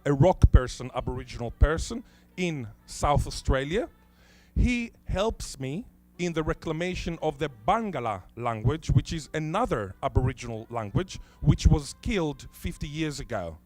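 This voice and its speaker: A man whose first language is Hebrew lectures at a conference, his voice sitting a little high for a man. He poses rhetorical questions and leans on key words. Faint music runs beneath the talk. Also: darkish tone. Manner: rhetorical questions, deliberate emphasis. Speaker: conference speaker.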